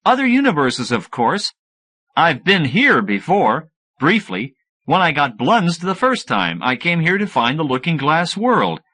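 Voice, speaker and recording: A man voicing an excitable, smug mad scientist who lectures eagerly in an audiobook. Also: on the high side, measured pace, pitched above the performer's own voice, exaggerated voice. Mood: matter-of-fact explaining. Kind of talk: audiobook character dialogue.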